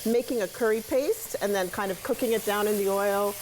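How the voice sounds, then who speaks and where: A woman's voice: mid-range voice, a little fast, a little mellow, low-quality recording. A woman giving a casual, chatty cooking demonstration as a guest cook, explaining each step as she goes. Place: TV studio kitchen. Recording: clear sizzling and stirring noise behind. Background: sizzling pan, stirring, clicks.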